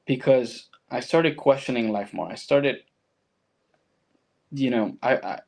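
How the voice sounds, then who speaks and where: A man's voice: mid-range voice, takes it slowly, vocal fry, darkish tone. A man from Argentina with a Latin American accent and Spanish as his first language, speaking as a guest and telling his personal story reflectively in conversation.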